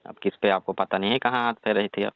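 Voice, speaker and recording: A man plays a reluctant young village repairman in a comic call skit. His voice is deep, and he grumbles and refuses in a colloquial way. It sounds dry and narrow, like a phone recording. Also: fairly even intonation, fast, smooth, no echo, close to an everyday voice. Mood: grumbling. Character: reluctant, exasperated young village electrician fending off advances.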